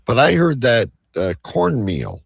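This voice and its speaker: A man hosting a live show with casual banter and a rough, gravelly voice. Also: mid-range voice, huge pitch swings, unhurried, no room sound, clean studio sound. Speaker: host of a live online show.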